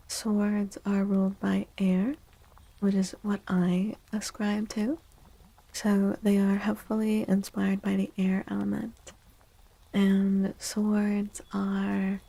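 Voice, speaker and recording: A woman streaming tarot readings in a soft ASMR style, gentle and breathy, often near a whisper with unhurried pauses. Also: mid-range voice, rough recording.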